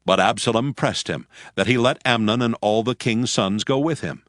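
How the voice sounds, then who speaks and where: A man's voice: medium pitch, moderate pace, fairly bright tone, no echo, clean studio sound. A man giving a solemn scripted narration of scripture in archaic English. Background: faint music.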